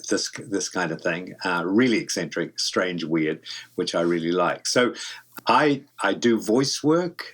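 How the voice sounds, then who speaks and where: A man's voice: low voice, animated, unhurried, a bit bright, slightly rough. A man in his seventies with a New Zealand accent, talking casually as an interview guest, rambling and thoughtful with many restarts. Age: 70s. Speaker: guest voice actor. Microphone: home studio mic.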